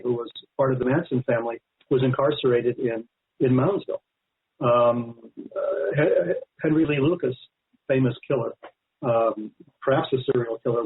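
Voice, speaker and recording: An American man, an author answering as an interview guest, explaining in measured, storytelling phrases. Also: mid-range voice, husky. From United States. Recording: narrow phone-line sound.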